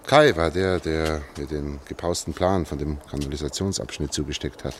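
Anxious, low-voiced middle-aged engineer. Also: male voice, expressive, normal speed, slight background noise. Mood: subdued, reminiscing. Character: anxious male engineer leading an escape through sewers. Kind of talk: German radio drama acting.

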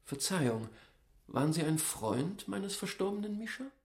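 A man's voice: high voice, big pitch swings, moderate pace. A man playing a shrewd, self-important economic planner in a radio drama, speaking with formal, emphatic courtesy. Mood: polite, probing. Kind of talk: German radio drama acting. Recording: roomy, reverberant studio sound.

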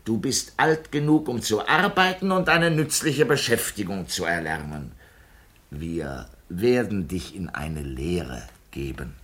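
Stern, patronising official, animated delivery. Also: male voice, low voice, unhurried. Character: stern, condescending male parish board official. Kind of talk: 1950s German radio drama acting.

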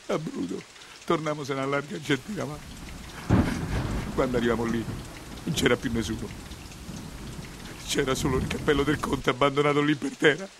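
An actor playing an excitable, humble nineteenth-century coachman from Rome, telling his story in a theatrical dialect monologue with a Roman accent. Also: sits high, a little hiss or hum. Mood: rueful. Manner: earthy, emotional, colloquial storytelling.

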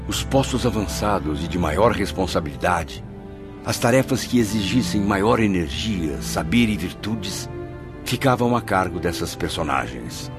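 An actor playing a reflective memoirist who narrates his afterlife in a radio drama, grave and deliberate. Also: low voice.

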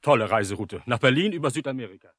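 A sardonic, matter-of-fact company employee. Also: male voice, mid-range voice, lively intonation, very fast, a bit bright. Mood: dryly ironic. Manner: matter-of-fact, dryly ironic. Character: brisk, chatty, sardonic male company employee. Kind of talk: German radio drama dialogue.

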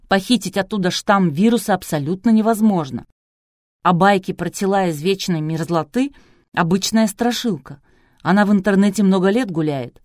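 A woman's voice: medium pitch, darkish tone, no echo, close to an everyday voice. A domineering man who runs a TV show, played by a woman narrator in a storybook reading. He is brusque and demanding, barking his words with force in a very dry recording. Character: brusque, domineering male TV producer, angry and commanding.

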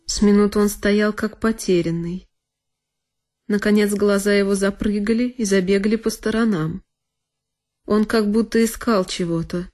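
A woman's voice: mid-range voice, fairly even intonation, normal speed, darkish tone. A woman narrating a literary one-woman audio play in an intense, theatrical manner. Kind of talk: dramatic scripted literary narration. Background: music with solo violin.

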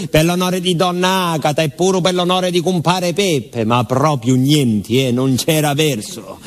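A man plays a folksy village postman from the Italian south in a theatre monologue. He speaks in a dialect-tinged southern accent at a middling pitch. He is garrulous and sly, confiding in his listener with little asides. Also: natural voice. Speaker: stage actor.

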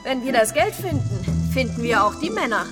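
Amiable, self-assured female cop, radio crime drama, high register, music bed. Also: very animated, moderate pace. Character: friendly, confident policewoman. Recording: music behind the voice.

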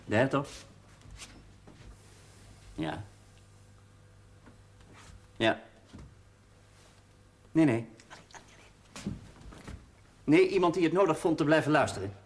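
A man's voice: fairly high pitch, very animated, slow, long pauses, slight background noise. A man acting a curt, wry mine engineer in a naturalistic seventies television drama, speaking in a clipped, matter-of-fact way. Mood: brisk, curt. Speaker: mining engineer.

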